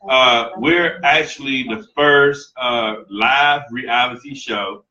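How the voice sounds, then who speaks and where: A man in his forties chatting casually as a podcast guest, earnest and quick to laugh. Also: medium pitch, pushed and bright. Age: 40s. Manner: laughs often, preachy and earnest. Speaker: podcast guest, live show co-host. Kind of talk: casual chat. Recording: echoey room, far from mic, dull lo-fi.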